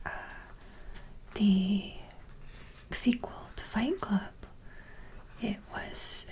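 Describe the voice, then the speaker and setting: A woman host giving an ASMR show-and-tell in a soft, breathy whisper, with a gentle, halting delivery. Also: on the high side, slight background noise, low-quality recording.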